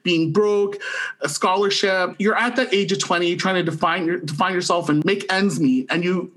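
A man's voice: high voice, sharp tone. A man. He talks as a podcast co-host sharing a casual review, enthusiastic and emphatic in his delivery. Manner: effusive, emphatic.

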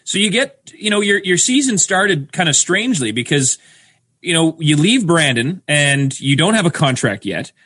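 A man's voice: fairly high pitch, very animated, normal speed, sharp tone, husky. A man co-hosting a podcast, asking direct questions, several in a row. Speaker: podcast co-host. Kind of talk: casual interview questions.

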